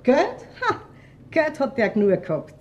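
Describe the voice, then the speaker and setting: A woman's voice: a little high, sing-song, moderate pace, dull audio, slight background noise. An actress playing a coquettish, brazen Viennese landlady in a radio crime drama, cheeky and theatrical. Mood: cheeky, defensive. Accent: Viennese Austrian. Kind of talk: German-language radio crime drama acting.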